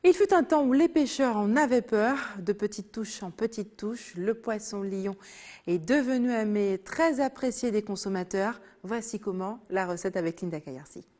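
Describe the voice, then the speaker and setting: Woman, news anchor, mid pitch, crisp, projected studio delivery. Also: moderate pace, mellow.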